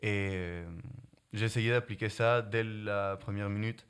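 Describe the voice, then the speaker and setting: Young man, Spanish-accented, lower voice, halting casual answers with restarts. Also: fairly even intonation, fairly bright tone, clean tone. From Guatemala. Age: young adult. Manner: halting, many restarts, searches for words. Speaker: prep-school student.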